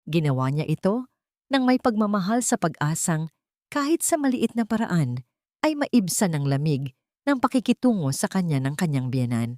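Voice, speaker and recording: A Filipino woman narrates a dramatic audio story from a script. Her voice sits on the low side for a woman, and the recording is very dry, with hardly any room around it. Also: a little mellow, dry recording.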